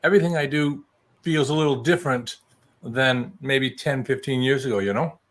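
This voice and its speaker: A man's voice: mid-range voice, moderate pace. A man in his early sixties chatting as a guest on a video call. He rambles, with many restarts, and is self-deprecating. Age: 60s. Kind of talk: casual video call chat.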